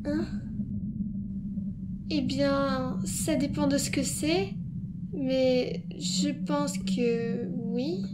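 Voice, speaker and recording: A woman's voice: high-pitched, steady tone, very slow, long pauses, heavy background noise. A woman playing a timid, frightened young boy in an amateur comic dub, stammering and pleading yet stubborn. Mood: confused, anxious. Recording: music behind.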